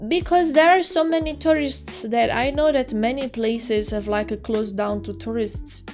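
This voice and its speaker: An Italian woman whose first language is Italian, speaking English with an Italian accent as a streamer on a casual livestream. Her voice sits a little on the high side, and she chats with lots of giggles, trailing off and restarting her sentences. Soft music plays quietly in the background. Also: unhurried. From Italy. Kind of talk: casual livestream chat while drawing.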